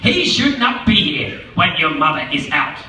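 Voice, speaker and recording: A man doing a shrill fussy fish. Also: very animated, moderate pace, bright, forceful tone, heavily acted. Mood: alarmed. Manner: shrill, anxious protesting. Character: fussy worried pet fish, scolding. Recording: roomy, distant, noisy live room.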